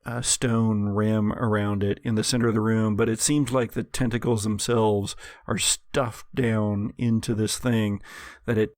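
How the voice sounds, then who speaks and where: A man narrates a gothic horror tabletop game as game master. His pace is slow and deliberate, with descriptive, suspenseful phrasing, and the recording is close and dry. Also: lowish voice, flat delivery, a little mellow, no room sound.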